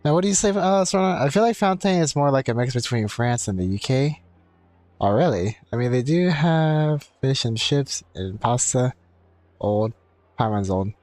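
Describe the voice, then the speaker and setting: A man's voice: mid-range voice, lively intonation, moderate pace, darkish tone, dry recording. A man giving casual live commentary as he streams a game, musing and a little hesitant. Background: game music.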